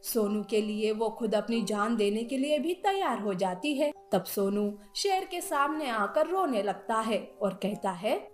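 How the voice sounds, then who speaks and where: A woman reading scripted narration for a children's animated moral story. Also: on the high side, a little slow, few pauses. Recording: echoey voice over music.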